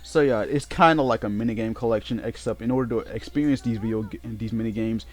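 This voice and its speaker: A man hosts a casual let's play in a mid-pitched voice. His commentary is unscripted and halting, with phrases repeated and reworked as he goes. Game music plays behind him. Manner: halting, repetitive, self-correcting.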